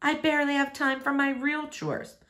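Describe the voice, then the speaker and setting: A woman's voice: high voice, flat delivery, moderate pace, a bit bright, voice pushed up. Storybook role: a woman as a complaining boy, sullen and pleading with a parent. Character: sullen, protesting boy starting high school soon.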